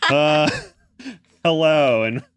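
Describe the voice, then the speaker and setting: A man's voice: fairly high pitch, extremely slow, a loud crowd. A man co-hosting a podcast in a casual, playful comedic chat, silly and given to exclamations. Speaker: podcast co-host. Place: indoor room.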